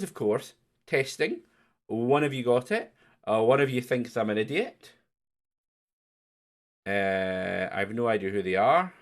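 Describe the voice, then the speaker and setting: A man hosting a livestreamed quiz in a chatty, teasing way. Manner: teasing, chatty, lively jumps in pitch. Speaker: quiz host. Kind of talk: casual livestream chat.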